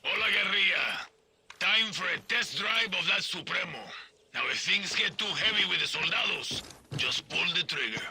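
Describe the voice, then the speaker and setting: A man's voice: high voice, lively intonation, normal speed, background noise. A man voicing an eccentric, cocky older guerrilla weapons tinkerer in a video game, with a Latin American accent and playful, theatrical swearing. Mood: teasing. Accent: Latin American Spanish.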